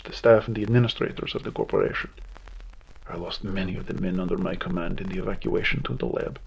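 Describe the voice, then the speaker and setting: A man's voice: on the low side, creaky, a little mellow, breathy edge, muffled recording, heavily acted. A man playing a grim, weary veteran security commander, solemnly recording a formal military log in an audio drama.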